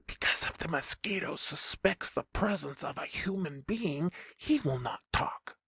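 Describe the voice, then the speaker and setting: Narrator doing an excitable pompous German professor, dull sound. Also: mid-range voice, a little slow, vocal fry, husky, no echo, full character voice. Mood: hushed, urgent. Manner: dramatic, hushed exclaiming. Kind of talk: comic audiobook character acting.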